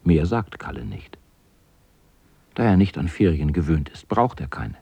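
A man narrating a radio drama as a storyteller, with a gravelly, husky voice. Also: low-pitched, sing-song, unhurried, warm tone, no room sound.

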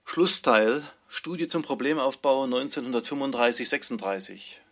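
Formal male announcer for literary headings, medium-pitched, scripted delivery. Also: normal speed. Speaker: announcer of chapter titles and drafts.

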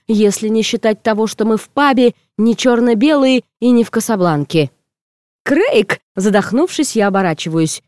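A woman reading first-person comic fiction aloud as an audiobook narrator, in an expressive, theatrical way. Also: mid-range voice, no echo, studio quality.

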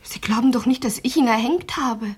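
Bitter young woman, radio crime drama, husky, breathy, terse, resentful. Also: a little high, a little fast, a little mellow. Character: bitter young pregnant woman under police questioning.

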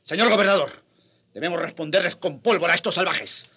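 Creaky, high-pitched furious landowner. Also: male voice, a little fast. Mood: menacing anger. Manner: shouting, threatening, emphatic. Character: furious, cruel Spanish colonial landowner, vengeful. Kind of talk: radio drama acting.

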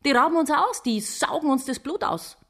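A woman's voice: high voice, lively intonation, moderate pace, fairly bright tone. An actress playing a spiteful, hate-filled city woman who hisses and rants in agitation in a radio drama. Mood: spiteful, agitated. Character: venomous, hate-filled Berlin woman ranting in prejudice.

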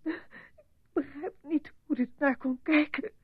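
An actress in a radio drama playing a shaken, distraught wife who speaks hesitantly and haltingly. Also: female voice, high-pitched, fairly even intonation, no room sound. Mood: horrified, tearful. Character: shaken, distraught wife recounting a gruesome holiday discovery. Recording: muffled radio play recording.

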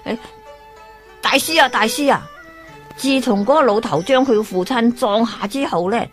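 A fretful young manservant rushes to his master with urgent news, played by a woman storyteller. Her voice rises well above her own for him, quick and worried. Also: a little high, expressive, soft background music, voice pushed up, lightly acted. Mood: alarmed.

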